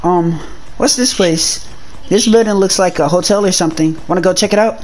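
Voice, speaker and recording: A performer playing an excitable teenage demon boy on the run, talking in casual slang in an improvised video-game roleplay. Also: medium pitch, a little fast, slight background noise, close to an everyday voice, low-quality recording.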